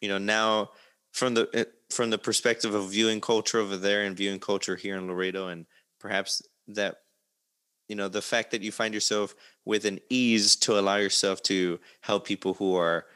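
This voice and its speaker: A man's voice: sits low, flat delivery, brisk, fairly bright tone, clear, resonant tone. A man hosting a conversational interview, asking wordy, reflective questions in a roundabout way. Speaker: interview host. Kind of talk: conversational long-form interview.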